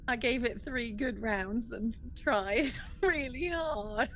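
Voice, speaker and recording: A woman's voice: on the high side, slow, a little hiss or hum. A woman playing a tabletop role-playing game, chatting casually and thinking aloud in a hesitant way. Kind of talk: casual, improvised game chat.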